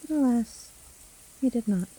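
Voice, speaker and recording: A woman playing a sly, teasing witch storyteller in a fantasy audio roleplay, speaking in a soft, breathy, theatrical and condescending way. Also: fairly high pitch, animated, measured pace. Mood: ominous, hushed. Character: sly, gloating witch storyteller toying with her trapped victim.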